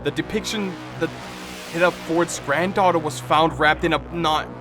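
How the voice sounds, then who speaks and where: A man's voice: a little high, steady tone, normal speed, music in the background. A man live-streaming his reaction to horror videos, reading text aloud and commenting dramatically, often repeating his phrases.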